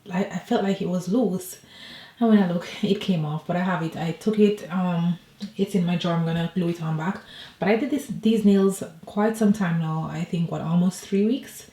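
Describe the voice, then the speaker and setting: Chatty woman vlogging from an echoey room. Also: fairly low pitch. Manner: chatty, rambling, relaxed talk to viewers. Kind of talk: casual personal vlog chat. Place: at home.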